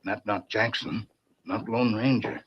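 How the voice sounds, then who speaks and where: Stoic western sidekick, broken English, unhurried, terse, wounded, muffled hissy old radio recording. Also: male voice, lowish voice, fairly even intonation. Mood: alert. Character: stoic wounded Native male sidekick, watchful. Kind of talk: 1940s radio western acting.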